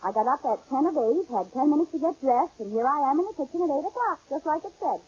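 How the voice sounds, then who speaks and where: An actress with an American accent playing a scatterbrained, playful, affectionate housewife in a 1950s-style radio comedy. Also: female voice, high voice, expressive, fast, a little mellow. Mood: breezy. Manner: coaxing, playful. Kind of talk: 1950s radio sitcom acting. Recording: dull, very noisy vintage radio recording.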